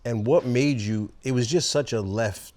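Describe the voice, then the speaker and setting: A man's voice: medium pitch, normal speed. A man in his forties who hosts a casual chat, talking loosely and swearing freely. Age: 40s. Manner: animated, swears freely, calls people bro. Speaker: host.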